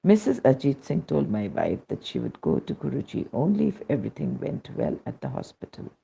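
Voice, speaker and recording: A man's voice: mid-range voice, huge pitch swings, brisk, creaky, mellow, slight background noise. A man reading a devotional testimonial aloud as scripted narration, on a muffled recording.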